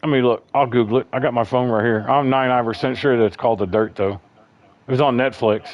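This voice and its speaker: Bassy man chatting on a lo-fi stream. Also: steady tone, fast. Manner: rambling, chatty storyteller, calls people bro. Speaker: livestream host. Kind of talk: casual chat.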